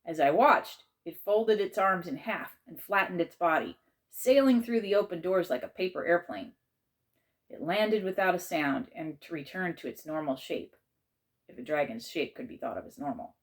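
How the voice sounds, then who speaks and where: A woman with a slightly low voice reads a children's chapter book aloud. She narrates in the first person, in the style of a teacher reading to a class. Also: expressive, moderate pace.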